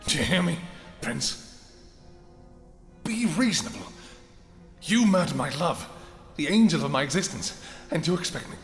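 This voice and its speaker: An actor playing a regal, possessive vampire king in a fantasy audio roleplay, with archaic, theatrical speech and halting pauses. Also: on the high side, lively intonation, lightly acted. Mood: vengeful fury. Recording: echoey hall reverb with music.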